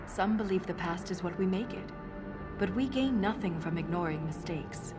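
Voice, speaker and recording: Actress as female dwarf scholar, lowish voice, dry, precise, principled, video game cutscene, clear music underneath. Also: animated, normal speed, lightly acted. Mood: principled, measured.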